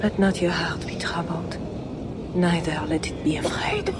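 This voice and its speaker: A devout, gentle queen in a game cutscene, with a fairly low female voice. She speaks formally and with measured solemnity over loud ambient noise. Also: sing-song. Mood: hushed prayer. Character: gentle, pious, dignified Frankish queen. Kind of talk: dramatic game cutscene acting. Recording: heavy ambient noise behind.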